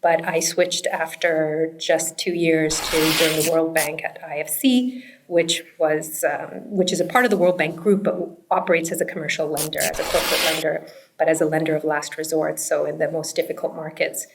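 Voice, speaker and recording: Low, even-voiced woman in interview. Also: moderate pace, vocal fry, background noise. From Pakistan and the United States. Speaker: interview guest, startup founder. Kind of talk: conversational interview answers.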